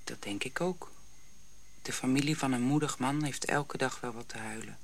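A woman playing a gentle African mother in a radio drama, speaking in a slow, hushed storytelling way. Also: rumbling low, vocal fry, a little hiss or hum. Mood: soothing. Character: gentle African mother soothing her young child.

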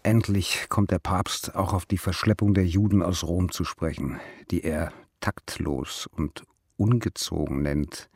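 Male narrator, very deep, dry recording, scripted documentary, factual summarising. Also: unhurried, a little mellow, breathy edge, no room sound.

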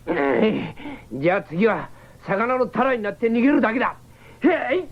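A woman playing a cocky, comic young shapeshifting cyborg with a cheeky, excitable, theatrical delivery in a 1970s radio drama. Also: a little high, moderate pace. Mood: cocky, playful. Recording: dull 1979 radio broadcast.

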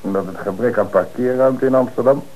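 An actor playing a calm, shrewd police commissioner who gives orders and explains the case in an old radio play. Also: male voice, mid-range voice, a little fast, muffled recording, lightly acted. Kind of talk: radio drama acting.

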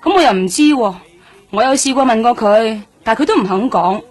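A streetwise, guarded nightlife hostess in a Cantonese radio drama. Her voice is on the high side, and she talks bluntly in offhand slang with a flippant, jaded edge. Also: animated, fairly quick, soft background music. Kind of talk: radio drama acting, colloquial dialogue.

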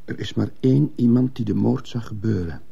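Radio drama: troubled male schoolteacher, very dark gravelly voice, brooding and earnest. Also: lowish voice, fairly quick, highs cut off, heavily acted. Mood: insistent. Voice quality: husky, gravelly. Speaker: actor in a radio play. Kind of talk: Dutch-language radio drama acting.

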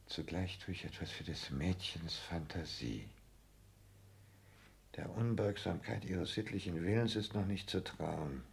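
An actor playing a pompous, self-important would-be seducer in a 1960s German radio play, declaiming to himself in a husky, gravelly voice. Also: male voice, sits low, measured pace, a little hiss or hum. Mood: smug, scheming.

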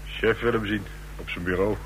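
An actor playing a stern, dutiful underling who relays orders in a clipped, official way, in a radio drama. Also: male voice, lowish voice, steady tone, normal speed, fairly bright tone, lightly acted. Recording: noisy, humming old radio recording.

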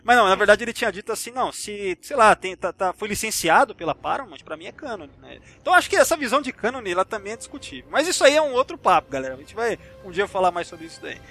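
A man's voice: high-pitched, very animated, quick pace, hardly any pauses, bright, forceful tone. A Brazilian man hosting a podcast, talking film with friends in a chatty, slangy, rambling way and swearing freely. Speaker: podcast host. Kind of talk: casual film discussion and anecdotes among friends.

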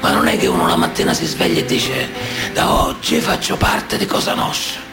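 An actor playing a grave, brooding mafioso in a radio drama monologue, speaking deliberately and emphatically. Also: male voice, on the high side, wildly swooping pitch, heavily acted. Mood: grave, emphatic. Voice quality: gravelly, creaky. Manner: deliberate, emphatic, repeats words for weight. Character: Sicilian mafioso recalling his boyhood initiation, grave, brooding. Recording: roomy echo, music behind.

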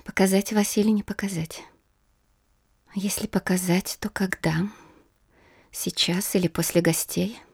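An actress playing an anxious young married princess in an audio drama, theatrical, as if reading and musing aloud to herself. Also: female voice, medium pitch, fairly even intonation, unhurried, pauses often, breathy edge. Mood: troubled, musing.

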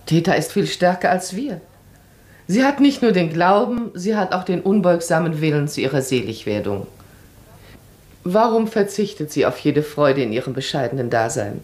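Self-assured baroness with swooping intonation. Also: female voice, deep voice, big pitch swings, moderate pace, a little mellow. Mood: insistent, impassioned. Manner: decisive, eloquent, emphatic. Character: self-assured, perceptive aristocratic baroness, firm and eloquent wife. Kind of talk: radio play acting.

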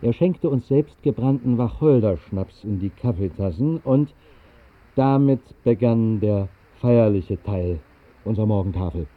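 A man narrating a scripted literary radio play in a measured, articulate way with deliberate emphasis. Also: on the low side, lively intonation. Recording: muffled, narrow-band 1960s radio recording.

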